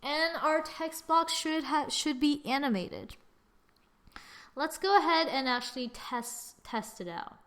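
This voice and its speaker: A young woman hosting a screen-recorded software tutorial, chatting through each step as she works at her computer. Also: high voice, unhurried. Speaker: tutorial host.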